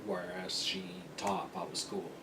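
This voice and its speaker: A middle-aged man from Spain speaking reflectively in a documentary interview, with a hesitant, halting delivery. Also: big pitch swings, creaky. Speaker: interviewee, university employee. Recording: noisy, rumbling, distant mic.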